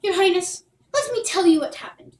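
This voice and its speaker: A woman's voice: extremely high pitch, lively intonation, normal speed, voice pushed up. A woman voicing an earnest young boy in a storybook read-aloud, talking eagerly. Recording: roomy, distant mic.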